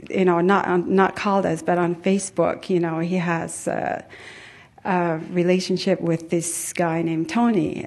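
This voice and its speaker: A middle-aged woman from Tonga giving an informal personal testimony to a group, pausing often as she goes. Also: on the low side, darkish tone. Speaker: attendee sharing personal story at a conference.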